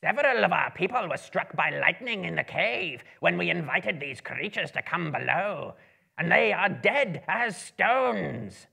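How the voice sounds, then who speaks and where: A man voicing a servile, accusing goblin henchman with a raspy, strained voice. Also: high voice, animated, measured pace, sharp tone, voice pushed up, exaggerated voice.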